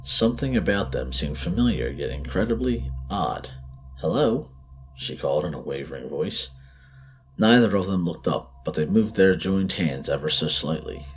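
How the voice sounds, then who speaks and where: A man narrating a scripted horror story in a measured, theatrical, suspenseful way. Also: deep voice, lively intonation, a little hiss or hum, poor audio. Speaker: podcast host and story narrator.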